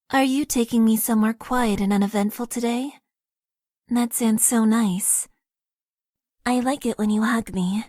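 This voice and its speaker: A formal, emotionally awkward young mecha-pilot girl, as an actress voices her for a dating game. She talks earnestly and deadpan in a slightly raised voice, stumbling into nervous stammers. The studio sound is close and dry. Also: a little high, dry recording, close to an everyday voice. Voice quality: stiff, overly formal phrasing. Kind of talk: game voice lines. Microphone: close studio mic, dry.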